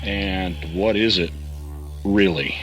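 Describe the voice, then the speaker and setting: A man's voice: deep voice, slow, fairly bright tone, faint music behind. An actor playing an anxious man caught in a conspiracy, asking questions in an audio drama. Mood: uneasy, questioning.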